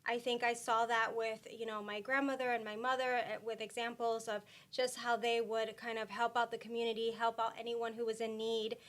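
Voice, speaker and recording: A woman from Puerto Rico talking as a guest in a casual interview, soft-spoken with many fillers and restarts. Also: a little high, level tone, a little fast, hardly any pauses.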